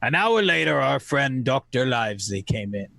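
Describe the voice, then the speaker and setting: A man's voice: mid-range voice, sing-song, normal speed, creaky, bright, forceful tone, dry recording. A man narrating a radio play as a storyteller, in a dramatic, scripted style. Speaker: narrator actor.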